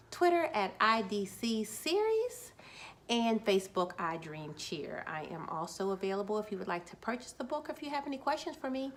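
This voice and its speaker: A woman's voice: medium pitch, a bit bright, slight background noise. A woman in her forties, a children's book author, talking casually and upbeat to camera, a little nervous and restarting words. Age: 40s.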